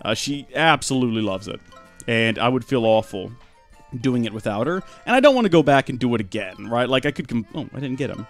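A young man rambles through live game commentary with deadpan jokes. His pitch swings up and down a lot as he talks. Faint music plays underneath. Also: a little fast. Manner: rambling deadpan joking, animated. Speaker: streamer.

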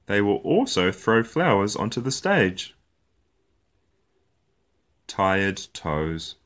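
A man reading a picture book aloud for young children in an expressive storytelling style, with deliberate, playful phrasing. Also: lowish voice.

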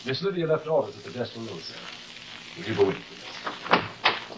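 A man's voice: fairly low pitch, huge pitch swings, moderate pace, creaky, heavily acted. A man playing a polite, anxious manservant in an old television drama. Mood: deferential, uneasy. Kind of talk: 1950s television drama acting. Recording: very noisy old television soundtrack.

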